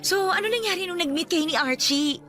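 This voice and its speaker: A woman's voice: very high voice, lively intonation, fairly quick, fairly bright tone, faint music behind. A woman playing a popular, confident older schoolgirl in a radio drama, gushing and mixing in English. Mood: confident, chummy. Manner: gushing, code-switching into English. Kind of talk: scripted radio drama dialogue.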